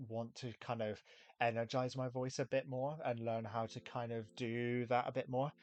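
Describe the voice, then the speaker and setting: A man's voice: mid-range voice, level tone, normal speed, a little mellow, highs cut off. A British man with a British accent and a slight regional twang, hosting a casual chat, thoughtful and rambling, at times a little nasal. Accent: British, neutral standard with a regional twang. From Britain. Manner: thoughtful, rambling, says he can sound nasal. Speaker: podcast host. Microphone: desk microphone.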